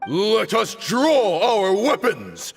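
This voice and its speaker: A defiant desert commander in a video game. His creaky voice declaims with grand solemnity and proud fanaticism. It rings in a long reverb with music behind it. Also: high voice, wildly swooping pitch, drawn-out words, exaggerated voice. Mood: rallying, fierce. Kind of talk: dramatic video game acting.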